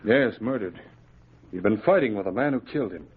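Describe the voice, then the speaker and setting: Measured, authoritative Mountie lawman, lowish voice, classic radio drama on a narrow-band recording. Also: expressive, slightly rough. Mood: firm, deliberate. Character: calm, authoritative Mountie police sergeant. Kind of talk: 1950s radio drama acting. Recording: muffled, noisy old radio recording.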